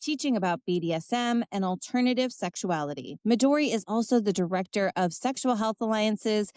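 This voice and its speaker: A woman's voice: medium pitch, fairly quick, no room sound. Woman host, dry close voice. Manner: clear, even presenter delivery with short listening responses. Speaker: podcast host. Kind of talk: scripted intro, then interviewing.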